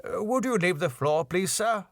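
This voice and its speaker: A man's voice: high-pitched, animated, normal speed, gravelly, a bit bright, no echo, studio quality, voice pushed up, exaggerated voice. A man voicing a polite, formal ballroom master of ceremonies in a sitcom script. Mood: polite, insistent. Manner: courteous, formal.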